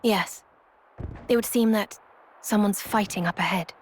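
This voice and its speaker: Woman as composed game heroine, dry, articulate, medium pitch, faint ambient noise. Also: a little slow, natural voice. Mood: measured. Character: composed, dryly wry young female companion who frets. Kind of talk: video game cutscene acting.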